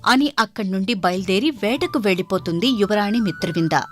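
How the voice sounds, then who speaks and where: A woman's voice: mid-range voice, fairly quick, soft background music. A woman reading scripted narration for a moral story as its narrator.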